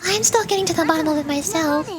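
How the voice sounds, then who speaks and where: A tiny, kindly nurse from a video game. The voice is very high and young, with a caring, polite manner. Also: female voice, fast talker, a loud crowd. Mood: gentle, caring.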